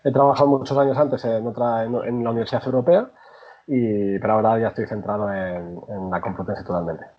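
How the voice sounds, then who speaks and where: A man's voice: on the low side, fairly even intonation, brisk. A man in his forties from Madrid with a Spanish accent, a university lecturer chatting as a podcast guest and often restarting his sentences. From Madrid, Spain. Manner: chatty, frequent restarts, warm laughter. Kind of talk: conversational interview. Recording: slightly dull lo-fi sound.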